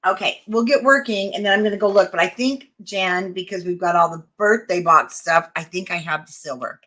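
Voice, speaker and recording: A woman's voice: on the low side, brisk, fairly bright tone. An older woman teaching a craft tutorial, chatting and greeting viewers as she works, her voice wearing thin. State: losing her voice. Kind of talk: live-streamed craft tutorial.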